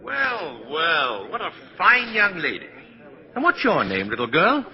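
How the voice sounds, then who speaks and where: Swooping, jovial old Santa Claus. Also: male voice, on the high side, wildly swooping pitch, unhurried, crowd noise, heavily acted. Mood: jolly, playful. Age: older. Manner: jovial, chuckling, gently teasing. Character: kindly, twinkling old man claiming to be Santa Claus. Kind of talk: 1940s radio acting. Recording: dull 1940s radio recording, long reverb.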